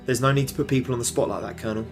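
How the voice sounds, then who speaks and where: A man's voice: medium pitch, very fast, faint music behind, lightly acted. A man playing a firm team leader. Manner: firm, authoritative, swears when angry. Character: stern male team leader, blunt and protective.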